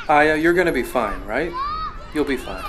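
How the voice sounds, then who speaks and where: A man playing a gentle young father who gets choked up seeing his daughter off. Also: mid-range voice, lively intonation, normal speed, a crowd in the background, background noise, lightly acted. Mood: tender. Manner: soft, tender, choked up.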